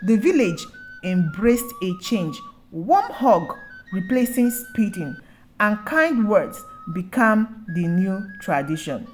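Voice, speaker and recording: A woman's voice: medium pitch, very animated, slow, soft background music, poor audio. A woman narrating a scripted folktale as a storyteller.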